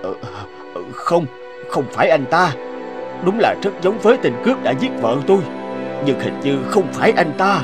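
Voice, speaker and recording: A man voicing a meek, anxious old shopkeeper in an animated moral tale, speaking hesitantly. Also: fairly high pitch, moderate pace, over music.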